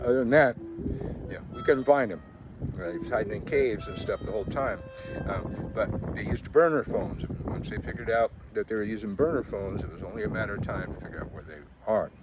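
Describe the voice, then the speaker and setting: A middle-aged man talks through the news in a plain, blunt way, stopping often for ums and uhs. His voice sits somewhat low, and music plays clearly behind him. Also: poor audio. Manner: blunt, conversational, frequent fillers. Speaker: news commentator. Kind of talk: casual spoken commentary.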